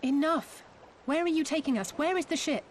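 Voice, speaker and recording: A woman's voice: sits high, moderate pace, a little hiss or hum, close to an everyday voice. A woman playing a blunt, sceptical young female adventurer in a video game. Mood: angry, demanding. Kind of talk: video game character acting.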